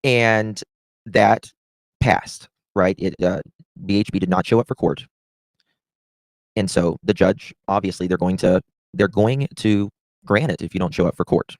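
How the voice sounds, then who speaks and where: Guy doing a livestream, kinda low voice, chatty, stops and starts, real dry sound. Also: unhurried, pauses often, no room sound, low-quality recording. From Southern United States. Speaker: livestream host. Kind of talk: casual livestream commentary.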